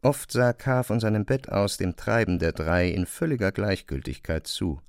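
A man with a low voice reads literary prose as narrator. The sound is close and very dry, like a studio recording with no room around it. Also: expressive, moderate pace, vocal fry, no echo. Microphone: close, dry studio microphone.

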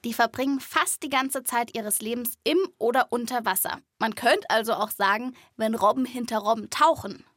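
A woman's voice: fairly high pitch, moderate pace, few pauses, a bit bright, no echo, clean studio sound. A woman hosting a children's podcast, explaining things in playful, colloquial scripted narration.

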